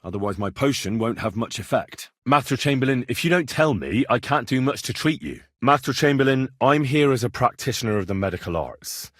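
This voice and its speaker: An actor playing a respectful, earnest young vagabond who poses as a learned medieval healer in a video game, speaking in measured, formal address. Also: male voice, medium pitch, lively intonation, fairly bright tone, no room sound, studio quality. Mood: earnest, persuasive. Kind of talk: scripted video game dialogue.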